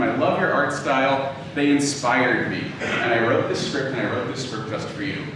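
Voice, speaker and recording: A man giving an informal, conversational lecture, often halting and backtracking to rephrase as he tells personal anecdotes. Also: mid-range voice. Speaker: lecturer, audio drama writer and producer. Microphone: distant from the speaker. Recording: very echoey room, distant mic, clearly noisy.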